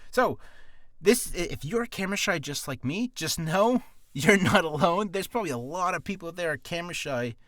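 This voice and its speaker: A man's voice: high voice, expressive, normal speed, a bit bright. A man giving beginner voice-acting advice in a casual vlog, nervous and rambling, with self-deprecating asides, from a small, hot, cramped room.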